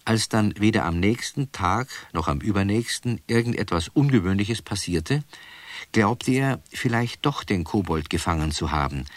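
A man's voice: on the low side, expressive, moderate pace, no echo. A man narrating a scripted children's story as the storyteller of a radio play.

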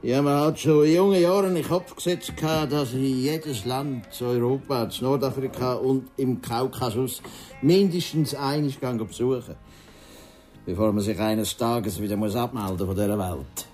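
A genial Swiss German gentleman, played by an actor, well travelled and at home in his café. His mid-pitched voice is jovial and slightly flowery, as in a radio play, with faint music underneath. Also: normal speed, natural voice. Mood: genial, expansive. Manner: jovial, genteel, a little flowery. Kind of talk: radio drama dialogue.

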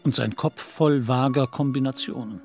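A man's voice: mid-range voice, normal speed. Male literary narrator over music.

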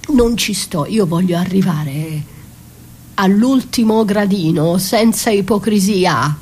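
Actress doing a hurt defiant wife, theatre monologue, slow n halting, intense, bit of noise behind. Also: female voice, medium pitch, a little mellow, close to an everyday voice. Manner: dramatic, halting, intense, dropping to breathy whispers. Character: wounded, betrayed wife, bitter and defiant. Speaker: stage actress performing a solo.

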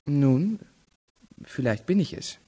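An actor playing a cool, arrogant, educated rich young student in a radio play, speaking precisely and evasively in a reverberant room. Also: male voice, on the high side, lively intonation, takes it slowly, dark tone, husky, full character voice.

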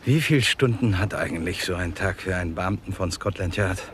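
An actor playing a genial, curious gentleman who narrates a 1960s German crime radio play in a wry, leisurely way with a husky voice. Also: sits low, brisk, slight background noise. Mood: curious, bantering.

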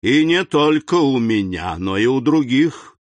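A man reading the part of a stern, cold middle-aged royal prosecutor who speaks in an icy, deliberate, accusing manner. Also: on the low side, no room sound. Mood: fierce accusing.

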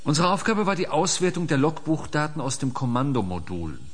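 An actor playing a sober, matter-of-fact expert giving a formal report in a science fiction radio play. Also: male voice, fairly high pitch, sing-song, a little fast. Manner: formal, factual, orderly.

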